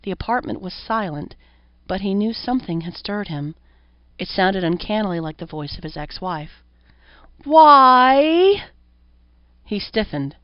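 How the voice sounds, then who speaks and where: A woman's voice: on the low side, moderate pace. A woman reading a horror story as an audiobook narrator, in scripted narration. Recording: roomy echo, dull lo-fi sound.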